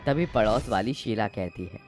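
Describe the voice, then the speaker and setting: A man's voice: on the low side, normal speed, darkish tone. A man narrating a scripted moral tale as its storyteller. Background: faint music.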